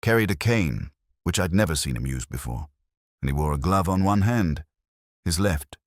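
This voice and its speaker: A man voicing a wary, polite bank manager of sixty in a measured, formal manner. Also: low voice, expressive, dry recording, clean studio sound. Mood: recollecting.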